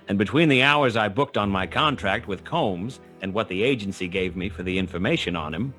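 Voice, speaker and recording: An actor playing a wry, hard-boiled private detective, narrating a noir radio drama in a dry, sardonic way. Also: male voice, on the low side, fairly bright tone.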